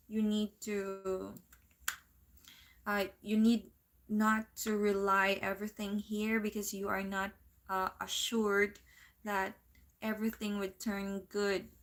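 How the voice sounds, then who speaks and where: Slow, even-voiced Filipino woman. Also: mid-range voice. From Philippines. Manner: unhurried, searching for words, reads viewer comments aloud. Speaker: livestream host and part-time English teacher. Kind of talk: casual livestream chat.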